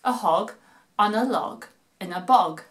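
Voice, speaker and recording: A middle-aged woman hosting storytime for children, speaking in a deliberate, emphatic, playful storytelling style. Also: mid-range voice. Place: small home living room. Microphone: camera a few feet away.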